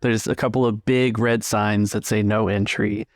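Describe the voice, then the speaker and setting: A man improvising scene descriptions as a game master, pausing to pick his words in a measured way. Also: on the low side, flat delivery, no room sound, studio quality. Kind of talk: improvised tabletop game narration.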